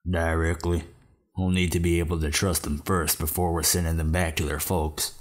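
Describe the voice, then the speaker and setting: A man acting a grave backwoods father of about fifty in an audio drama. The voice sits extremely low and hardly moves in pitch. He is terse and plain-spoken, with quiet intensity. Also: level tone, moderate pace. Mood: firm.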